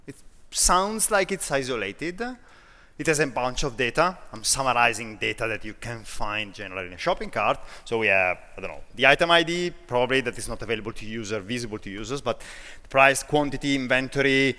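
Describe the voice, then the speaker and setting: A man from Italy with an Italian accent, giving a conference talk in a self-deprecating, lively way with frequent restarts. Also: mid-range voice, brisk, big-room sound. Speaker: conference speaker. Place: large conference hall.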